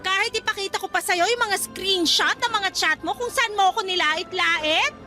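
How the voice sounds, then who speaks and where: A confrontational young office worker in a radio drama. Her voice is very high, loud and accusing, full of scorn. Music plays clearly behind her. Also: moderate pace. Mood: angry, scornful. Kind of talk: Tagalog radio drama acting.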